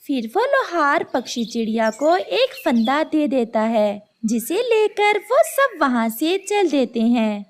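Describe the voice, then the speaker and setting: A woman's voice: high-pitched, very animated, slow, few pauses. A woman narrating a scripted children's story.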